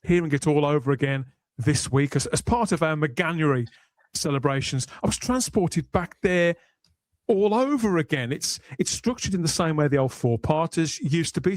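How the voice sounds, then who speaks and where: A man hosting a podcast panel discussion, talking in a measured, thoughtful way. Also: on the high side, expressive, dry recording. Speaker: podcast host. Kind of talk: panel discussion of a sci-fi series.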